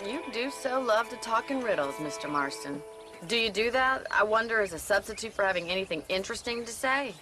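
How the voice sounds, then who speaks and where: An actress playing a sharp-tongued young ranch woman in a western game, forthright and wry in manner. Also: medium pitch, animated, fairly quick, soft background music. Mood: wry, teasing. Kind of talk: video game cutscene acting, western. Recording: outdoor ambience with horse hooves behind.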